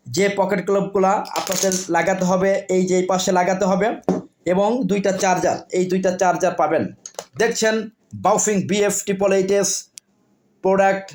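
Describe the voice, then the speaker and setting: A man with a Bangladeshi accent presenting products in a repetitive sales pitch.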